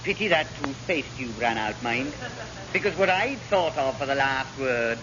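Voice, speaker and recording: An actor playing a scheming, ambitious Welsh naval rating in a radio comedy, conspiratorial and emphatic. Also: male voice, mid-range voice, animated, moderate pace, muffled recording, lightly acted. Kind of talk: classic British radio sitcom acting. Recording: noisy, band-limited vintage radio recording.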